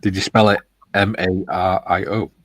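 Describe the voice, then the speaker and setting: A man's voice: low voice, little pitch movement, normal speed, fairly bright tone, no room sound. A man hosting a live stream, casually reading out chat messages with chuckles and jokes. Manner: chuckling, jokey.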